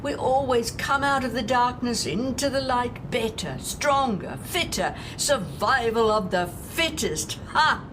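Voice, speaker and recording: A woman playing a despairing, scornful woman in an audio drama monologue, haltingly lecturing an unseen listener on humanity's end. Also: a little high, animated, background noise, pitched above the performer's own voice. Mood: mocking, exasperated. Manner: deliberate, halting, rhetorical, addressing an unseen listener.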